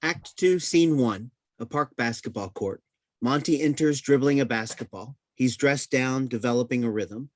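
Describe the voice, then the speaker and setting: A man reading a play's stage directions aloud in a plain, even manner. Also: mid-range voice, moderate pace, dry recording.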